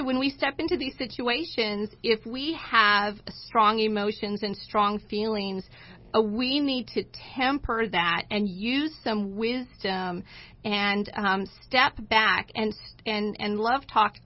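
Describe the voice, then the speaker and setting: A dull, lo-fi recording carries a woman's fairly high voice. She co-hosts an inspirational talk show in a conversational style. Her phrasing is deliberate and emphatic, with pauses as she makes her points. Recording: dull, lo-fi audio.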